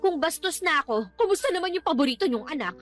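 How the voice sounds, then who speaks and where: A woman's voice: very high voice, expressive, fast talker, soft background music. A woman playing a resentful, sarcastic daughter talking back in a radio drama. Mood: sarcastic, defiant. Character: defiant, resentful breadwinner daughter arguing with her father.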